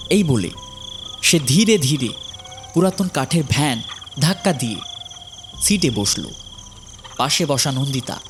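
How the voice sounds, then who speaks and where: A man's voice: mid-range voice, expressive, unhurried, long pauses, noisy background. Man narrating a dramatic horror story.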